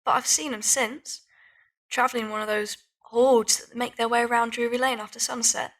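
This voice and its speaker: High-voiced street girl, acted by a woman. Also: flat delivery, moderate pace, lightly acted, low-quality recording. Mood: subdued. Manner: plain-spoken, blunt. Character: wary, streetwise homeless young woman of Victorian London. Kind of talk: audio drama acting.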